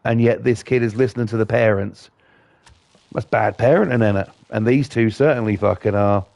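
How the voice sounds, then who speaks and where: A man hosting casual gameplay commentary, blunt and defiant, his voice croaky from a cold. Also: on the low side, moderate pace, darkish tone, no room sound. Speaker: gaming channel host.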